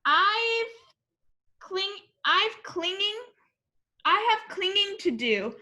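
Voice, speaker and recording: A woman's voice: extremely high pitch, very animated, extremely slow, bright, forceful tone, pitched above the performer's own voice, exaggerated voice. A woman voicing a timid, anxious young male koala from a storybook, hesitant and pleading as he refuses. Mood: reluctant, apologetic.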